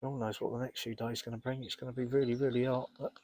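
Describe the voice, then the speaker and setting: A man with a cold, rambling casually to camera while fishing outdoors by a lake, often clearing his throat. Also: medium pitch, level tone, fast, darkish tone, no echo. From England. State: congested, blocked up with a cold. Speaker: carp fishing vlogger.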